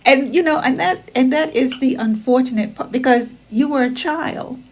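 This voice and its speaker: Higher-voiced woman hosting an interview. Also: normal speed. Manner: responsive, encouraging interviewer. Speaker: podcast host. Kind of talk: casual interview chat.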